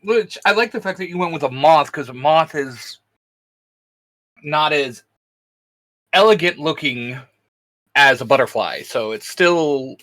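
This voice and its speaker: Bright voiced guy, pitch bouncing all over, casual geeky banter as a co-host. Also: expressive, unhurried, long pauses, poor audio. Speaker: co-host of a fan channel.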